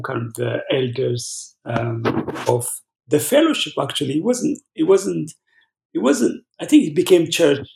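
A man, a pastor answering interview questions haltingly, with many fillers and restarts. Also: medium pitch, vocal fry, darkish tone, slight background noise. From Ethiopia. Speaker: pastor guest. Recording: roomy echo.

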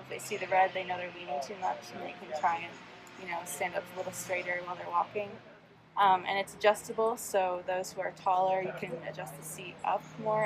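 A young woman, a graduating engineering student, explains her team's project in a casual interview, pausing often with ums. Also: on the low side, vocal fry, background noise. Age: young adult.

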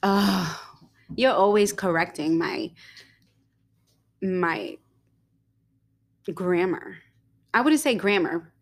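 A young woman co-hosting a casual podcast, talking in a rambling way with many fillers and quick giggles. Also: on the low side. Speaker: podcast co-host.

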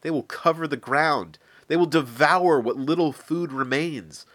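A man's voice: on the high side, measured pace, a bit bright, no echo, voice pushed up. A man voicing a stern, earnest prophet who pleads with emphasis. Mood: urgent.

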